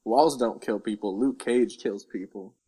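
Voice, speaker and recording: A man's voice: lowish voice, unhurried, warm tone, breathy edge. A man co-hosting a casual pop-culture podcast, chatting in an easygoing, inquisitive way. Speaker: podcast co-host.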